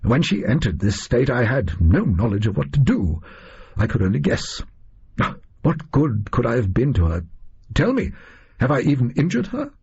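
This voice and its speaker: A man's voice: medium pitch, sing-song, normal speed, gravelly, darkish tone, breathy edge, lo-fi sound, heavily acted. A man voicing a brilliant Victorian detective in an audiobook, muttering intensely, anguished and full of self-doubt. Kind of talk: acted dialogue in an audiobook.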